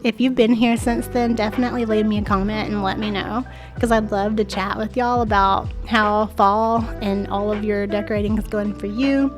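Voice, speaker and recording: A woman's voice: mid-range voice, fairly even intonation, moderate pace, soft background music. Chatty woman with a stuffy nose. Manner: chatty and friendly, talks straight to viewers. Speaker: home decor vlogger. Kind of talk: voice-over narration. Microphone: voice-over mic.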